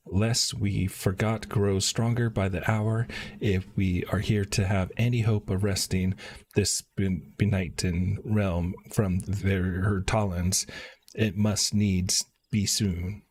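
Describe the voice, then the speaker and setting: A male game streamer with a lowish pitch and a noticeably husky tone. He talks casually, half to himself, as he plays. When he reads game text aloud, his delivery is halting. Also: darkish tone. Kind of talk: casual live-stream gameplay commentary and reading dialogue aloud.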